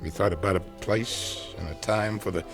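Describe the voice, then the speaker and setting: A man's voice: low-pitched, fairly even intonation, moderate pace, music in the background. An actor playing a bitter male former business partner in a 1970s radio drama, wary and then grimly resolved. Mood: tense.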